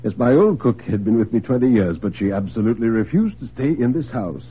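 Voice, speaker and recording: A man voicing a genial, courteous gentleman host in a dramatic radio story, on a tinny, noisy old recording. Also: medium pitch, lively intonation, brisk, slightly rough. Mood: chatty, amused. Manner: courteous, chatty, solicitous. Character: genial, urbane gentleman host, sly and commanding.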